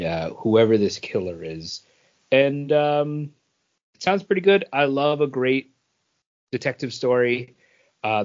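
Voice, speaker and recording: A man co-hosting a podcast, giving a casual comic book preview in a halting way with deliberate pauses. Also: mid-range voice. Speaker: podcast co-host. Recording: dull, lo-fi podcast audio.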